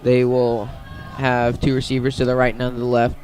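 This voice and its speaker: Male American football commentator, very flat intonation, mid-pitched, halting and self-correcting as he calls live plays. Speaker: play-by-play commentator. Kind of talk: live radio football play-by-play.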